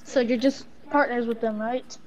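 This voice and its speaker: A woman's voice: on the high side, fairly even intonation, normal speed, a little mellow, muffled recording. A woman improvising role-play in a game voice chat, speaking a little hesitantly. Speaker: player role-playing a secret agent.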